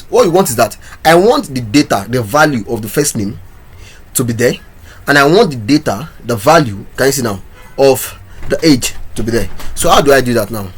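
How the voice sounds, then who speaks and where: A man's voice: medium pitch, husky. A man teaching a coding lesson, talking through his code step by step and asking viewers questions. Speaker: programming instructor. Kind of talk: screen-recorded coding tutorial.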